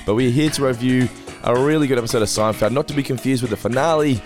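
A man hosting a casual podcast, hoarse after losing his voice, chatting and plugging the show. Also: mid-range voice, fast talker, darkish tone, soft background music. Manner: chatty, reads sponsor plugs. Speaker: podcast host.

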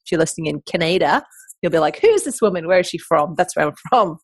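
A woman's voice: on the low side, lively intonation, quick pace, breathy edge, no room sound. A middle-aged woman with an English accent, hosting a podcast in a chatty, enthusiastic monologue. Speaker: podcast host.

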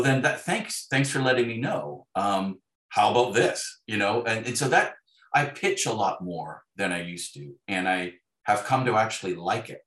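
Male interview guest, lowish voice, animated conversational storyteller who acts out dialogue, recorded in an echoey room. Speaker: guest author. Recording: roomy, echoey sound.

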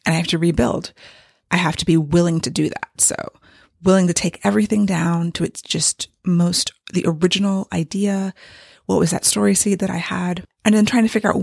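A woman with a tired, gravelly voice, pitched a bit low and husky from strain, rambles candidly through a writing update. She talks casually at home, and the sound is close and dry. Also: steady tone, moderate pace, a little mellow, dry recording. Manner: candid and rambling, with light laughs. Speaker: novelist giving a writing update. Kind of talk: casual vlog monologue. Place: home with hardwood floors.

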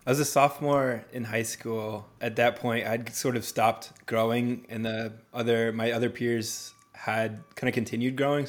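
A man in his thirties hosting an interview, speaking hesitantly and often repeating words as he searches for phrasing. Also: mid-range voice. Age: 30s. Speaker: podcast host. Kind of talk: conversational interview.

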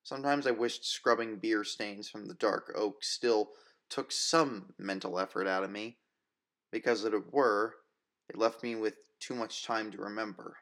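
A man with a fairly low voice reads first-person fiction like an audiobook. His delivery is measured and literary, with a wistful cast. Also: fairly even intonation, a bit bright. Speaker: audiobook reader.

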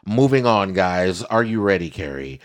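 A very deep-voiced man with a slightly raspy voice hosts a casual podcast. He tells stories with animated repetition and keeps the chat loose and playful. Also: moderate pace. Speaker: podcast host.

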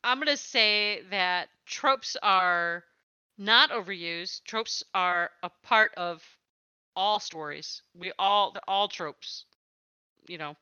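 A woman's voice: on the low side, pushed and bright, clean tone, no room sound, highs cut off. A woman speaking as a podcast host in a conversational interview.

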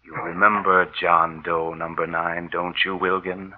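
An actor playing a cold, vengeful walking dead man in an old radio horror play, speaking in an ominous, deliberate, repetitive way. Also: deep voice, flat delivery, slight background noise. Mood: menacing. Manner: slow, ominous, deliberate, repeating himself. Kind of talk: 1940s radio horror acting. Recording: muffled old 1940s radio recording.

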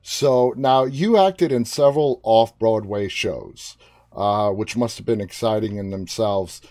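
A man's voice: fairly low pitch, unhurried, a little mellow, no echo. A man from Queens with a New York accent, interviewing a guest with friendly, eager questions. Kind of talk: interview chat.

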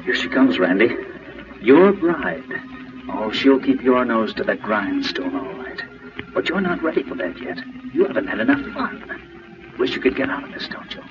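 A man performing a sly male inner voice that needles and taunts, swinging widely in pitch. It is old radio acting, muffled and distant with music behind. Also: sing-song, normal speed, close to an everyday voice. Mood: sly, taunting. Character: sly, needling conscience of a reluctant bridegroom.

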